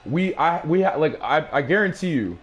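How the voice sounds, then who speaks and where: A man's voice: on the high side, expressive, moderate pace. A man with a New England accent, chatting casually on a live stream, rambling and boastful and swearing freely as he plays his old songs. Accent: New England, Rhode Island. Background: music playing.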